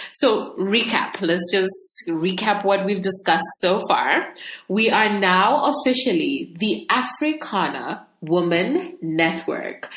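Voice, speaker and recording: A woman hosting a solo podcast, talking to listeners in a conversational, expressive way. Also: medium pitch. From Zambia. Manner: conversational, expressive, laughs often. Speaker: podcast host. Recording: echoey room, faint background noise.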